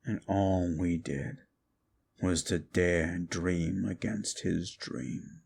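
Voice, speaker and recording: A very deep, gravelly fallen demon, voiced by a man in an audio drama, ancient and bitter. He talks slowly and with contempt, sinking to near whispers and then rising to shouting. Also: fairly even intonation, a little hiss or hum, exaggerated voice. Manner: contemptuous, swings from hushed to shouting. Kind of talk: audio drama roleplay to a listener.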